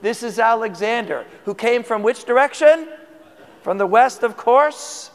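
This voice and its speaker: A man's voice: very high-pitched, lively intonation, measured pace, long reverb tail. A man preaching a sermon in a conversational way, asking the congregation questions, his voice tired. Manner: conversational, asks the audience questions. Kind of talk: sermon teaching.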